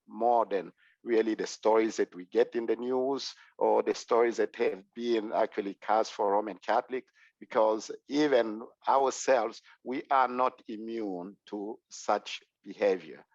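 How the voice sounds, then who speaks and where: Congolese accent, clergyman presenting on a video call, lowish voice, kinda muffled and dry. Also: steady tone, no room sound. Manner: measured, with frequent "uh" and "um". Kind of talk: formal online presentation.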